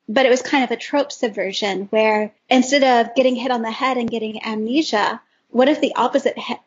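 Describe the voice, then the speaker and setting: Woman podcast panelist, gushing fan energy, raspy edge to the voice, slightly muffled recording. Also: on the high side. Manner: enthusiastic, gushing. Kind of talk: casual chat, recommending fan audio stories. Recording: dull lo-fi audio.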